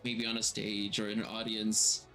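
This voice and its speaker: A man hosting a casual stream, his voice processed to sound a little lower and fuller, chatting in a self-deprecating way with many fillers. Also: completely flat delivery, normal speed, music in the background, low-quality recording. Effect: voice processed slightly lower and more resonant. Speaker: streamer and game master. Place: home streaming room.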